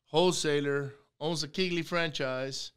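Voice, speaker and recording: A man's voice: fairly high pitch, steady tone, a little slow, a bit bright, smooth. A man from Venezuela hosting a podcast interview, chatty and joking, with a lot of restarts. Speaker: podcast host. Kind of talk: casual interview chat.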